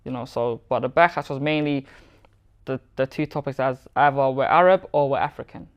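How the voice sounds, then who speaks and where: A man speaking as an interview guest, relaxed and candid in casual conversation. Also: medium pitch, brisk, smooth, highs cut off.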